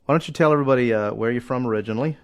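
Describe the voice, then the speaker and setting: A man's voice: medium pitch, rapid-fire, a little hiss or hum. A man hosting a casual podcast interview, asking friendly questions and laughing often. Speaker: podcast host, drum teacher.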